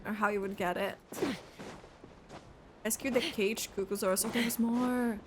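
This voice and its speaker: A woman's voice: medium pitch, fairly even intonation, a little slow, noisy background. A woman giving casual, spontaneous commentary while reacting to a video game. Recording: clear game sound behind the voice. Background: video game audio.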